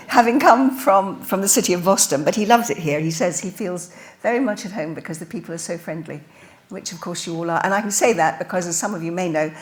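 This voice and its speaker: An older woman speaks at a podium microphone in a lecture hall. Her voice is mid-range, and her chatty introduction moves easily between notes and asides. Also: medium pitch. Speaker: event host introducing speakers. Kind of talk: speech introduction. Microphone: podium mic.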